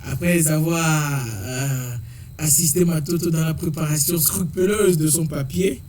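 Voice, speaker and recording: A man hosting a lively radio show, chatty and quick to laugh, with many fillers. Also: fairly high pitch, distant mic, noisy background. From Togo.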